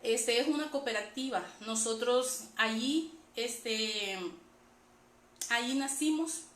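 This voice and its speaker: A woman's voice: on the high side, takes it slowly, a bit bright. A woman with a Salvadoran Spanish accent gives a personal religious testimony to an online audience in a conversational way. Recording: echoey room with faint background noise.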